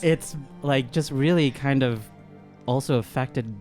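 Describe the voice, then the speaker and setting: A man's voice: mid-range voice, measured pace, a little mellow, soft background music. A man playing a tabletop game, talking casually and a little tentatively as he works through the action.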